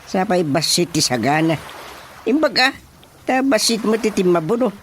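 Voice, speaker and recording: A man playing a grumbling, excitable older village father in a radio comedy drama, exclamatory and scolding, with an Ilocano Filipino accent. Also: sits high, normal speed, slight background noise. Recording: radio drama with music underneath.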